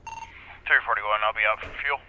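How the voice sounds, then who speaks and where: Harsh, bright male voice over noisy radio. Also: fairly low pitch, steady tone, moderate pace. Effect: police radio. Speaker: roleplay officer on radio. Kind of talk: terse police radio calls in game roleplay.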